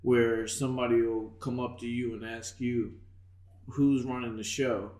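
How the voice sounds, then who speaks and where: A man's voice: mid-range voice, little pitch movement, measured pace, a little mellow, roomy sound. A man hosting a casual podcast interview as a boxing coach, talking loosely and laughing easily.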